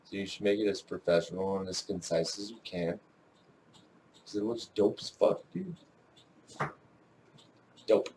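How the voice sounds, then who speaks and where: A man chatting casually with a friend on a stream, goofy and quick to laugh. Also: low-pitched, a little hiss or hum, poor audio. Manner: goofy, laughs a lot, lively. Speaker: co-host friend. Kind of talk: casual chat.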